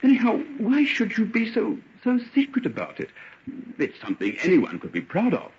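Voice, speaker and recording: An actor playing a hearty, jovial upper-class gentleman chum, emphatic and clubby, in an old-time radio drama. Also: high-pitched, huge pitch swings, moderate pace. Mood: hearty, insistent. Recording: dull, noisy vintage radio recording.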